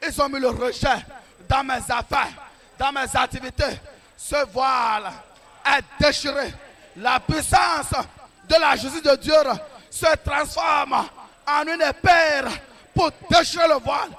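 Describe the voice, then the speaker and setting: High-pitched gravelly preacher shouting prayers. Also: male voice, steady tone, a little slow. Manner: repetitive declarations, fervent commanding tone.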